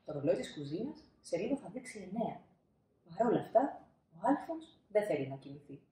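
A woman in her thirties reads a storybook for children. Her pitch moves up and down expressively, and she leans on key words with dramatic pauses. The room gives her voice a noticeable echo. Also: sing-song, dark tone. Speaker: storybook reader. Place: small indoor room, seated. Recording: roomy indoor echo.

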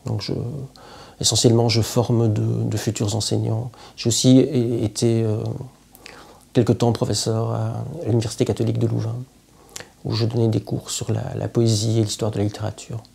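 A man, a poet and literature teacher speaking to camera in an interview, reflective and halting with frequent hesitations. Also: fairly low pitch, little pitch movement, vocal fry, dark tone, breathy edge. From Belgium. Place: indoor room with plants, at home.

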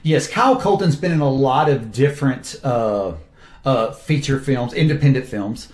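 A man, a film director, talks through his cast with eager, animated enthusiasm. His voice sits at a middle pitch and carries a roomy echo. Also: moderate pace. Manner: enthusiastic, animated storytelling with quoted asides. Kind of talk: casual interview talk.

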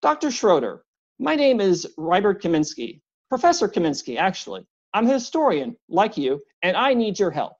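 A woman's voice: mid-range voice, big pitch swings, moderate pace, darkish tone, muffled recording. A woman reading a storybook voicing a big, polite, anxious male professor who keeps apologizing and pleading.

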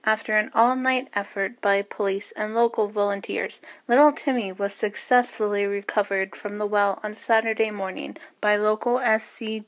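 A woman playing a composed evening news anchor in an audio drama, reading a bulletin in a measured, formal way. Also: medium pitch, lightly acted.

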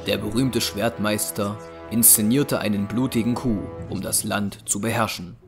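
Low-voiced male audio drama narrator. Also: steady tone, normal speed, vocal fry. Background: music.

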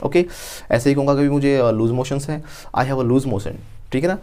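A man's voice: mid-range voice, normal speed, a little mellow. A man in his thirties teaching a spoken English lesson, acting out little everyday scenes as he goes. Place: dark studio.

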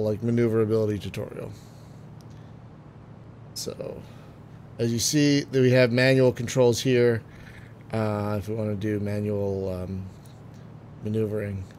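A man getting over a cold, phlegmy and clearing his throat, chatting casually through a game with many ums and trailing remarks. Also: medium pitch, a little hiss or hum. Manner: chatty, frequent ums and uhs, trailing off quietly. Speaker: gaming video host. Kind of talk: casual let's-play commentary.

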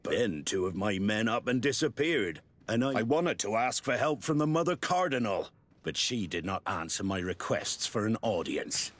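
An actor playing a blunt, harried naval commander in a video game, gruff and plain-spoken with a wry edge. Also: male voice, on the low side, animated, moderate pace, a bit bright, no room sound. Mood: exasperated. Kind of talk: video game dialogue acting.

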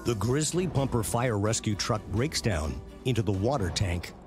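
A man reading scripted narration for a documentary series in a measured, punchy way. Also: on the low side, lively intonation. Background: prominent background music.

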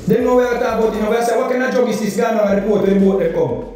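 High-voiced Jamaican man ranting on his phone. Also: fairly even intonation, very fast, a little mellow. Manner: insistent, rhetorical questions, emphatic repetition. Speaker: political vlogger. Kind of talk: political commentary rant. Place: indoor kitchen or room. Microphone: phone held at arm's length. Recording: echoey room, far from the mic, faint music.

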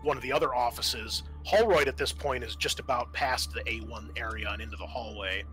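Bright-voiced man running a tabletop game. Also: mid-range voice, faint music behind, poor audio. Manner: halting, improvised, explanatory. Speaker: game master. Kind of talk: narrating a tabletop roleplaying game.

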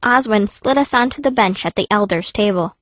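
A woman's voice: mid-range voice, a little fast, dry recording, close to an everyday voice. An actress voicing a fierce, blunt young girl mage who narrates an audiobook in the first person. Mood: narrating. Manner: blunt, youthful.

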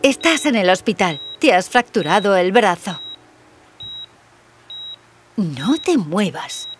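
A woman voicing a caring, wry young princess surgeon in a cartoon fairy tale, gently teasing and professional. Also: mid-range voice, very animated, slow pace. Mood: calm, professional.

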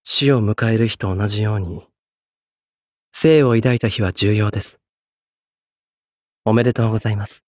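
An actor playing a solemn, courteous young executioner in a Japanese anime game, speaking in a polite, measured, grave way. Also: male voice, fairly low pitch, no echo, clean studio sound, lightly acted. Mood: solemn, devoted. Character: solemn, courteous young executioner, a guilt-burdened French swordsman.

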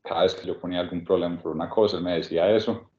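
A man in his forties with a Medellín Colombian accent, telling his life story on a video call in a relaxed way, with many restarts and pauses. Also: low-pitched. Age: 40s. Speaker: guest speaker at a workshop. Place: small room indoors. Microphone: webcam on a video call. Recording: echoey room, dull video-call sound.

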